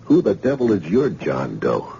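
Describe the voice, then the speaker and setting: An actor playing a level, businesslike police detective sergeant in an old-time radio drama. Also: male voice, low-pitched, huge pitch swings, normal speed, vocal fry, mellow, exaggerated voice. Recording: hissy, muffled old radio recording.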